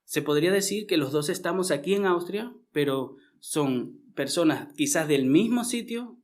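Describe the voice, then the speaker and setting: A man's voice: a little high, expressive, normal speed. A man from Venezuela with a Venezuelan accent, preaching a conversational sermon to his congregation. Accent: Venezuelan Spanish. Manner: conversational, frequent tag questions and restarts.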